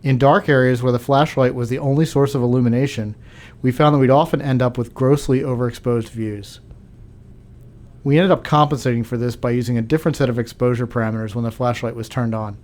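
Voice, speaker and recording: A man's voice: mid-range voice, steady tone, brisk, a little mellow. Man, mid-range voice, game developer, scripted technical explanation.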